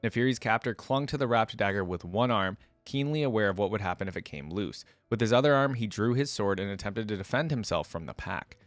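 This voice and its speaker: Low-voiced man, close dry sound. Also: lively intonation, fairly quick, hardly any pauses, no echo, soft background music. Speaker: YouTube reaction host. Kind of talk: casual reaction commentary with read-aloud passages.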